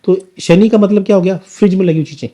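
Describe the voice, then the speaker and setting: Dark-voiced man explaining like a teacher. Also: sits high, steady tone, fast. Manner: emphatic teacher, asks rhetorical questions. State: slightly sore throat. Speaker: astrologer guest. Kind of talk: podcast conversation, explaining astrology.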